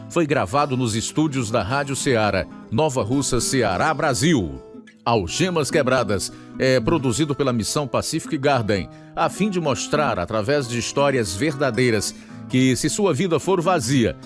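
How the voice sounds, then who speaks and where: A man with a Brazilian accent hosting and announcing a radio program with scripted narration. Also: mid-range voice. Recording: guitar music under the voice.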